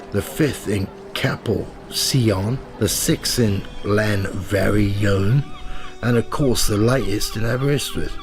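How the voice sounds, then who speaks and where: A man's voice: lowish voice, gravelly. A man acting a cynical, smug tabloid reporter in a radio play, with a sardonic, goading manner. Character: cynical, smug male tabloid reporter narrating his own investigation. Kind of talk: radio play acting with first-person narration.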